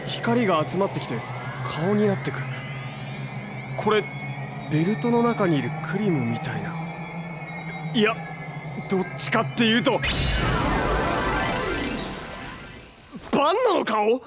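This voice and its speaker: A cocky young hero in an audio drama. His voice sits fairly high and swings freely, with casual, slangy phrasing and faint music beneath. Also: sing-song, moderate pace, pauses often. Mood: astonished. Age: young adult. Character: brash, casual young male hero and photographer.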